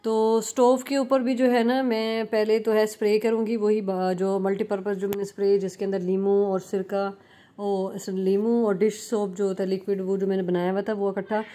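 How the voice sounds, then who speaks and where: A young adult woman chatting through a home cleaning vlog as a relaxed voice-over, full of fillers and restarts. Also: mid-range voice, normal speed. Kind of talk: casual voice-over narration. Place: home kitchen.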